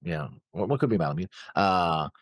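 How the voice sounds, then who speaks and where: Low-voiced, halting man with swinging intonation. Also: lively intonation, no room sound. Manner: halting, searching for words. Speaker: reaction show co-host. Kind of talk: casual discussion, talking through notes.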